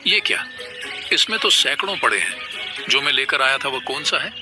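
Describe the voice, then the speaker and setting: A man's voice: mid-range voice, big pitch swings, normal speed, music in the background, natural voice. A man voicing an earnest, devoted monkey-god warrior in a cartoon, speaking respectfully with pleading and exclamations. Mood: wondering. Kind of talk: animated devotional story dialogue.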